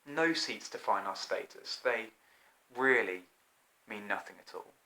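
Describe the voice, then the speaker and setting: Man playing earnest storybook fly, solemn and measured. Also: on the low side, animated, sharp tone, pitched below the performer's own voice, poor audio. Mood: tender, earnest. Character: gentle, earnest, moralising male fly.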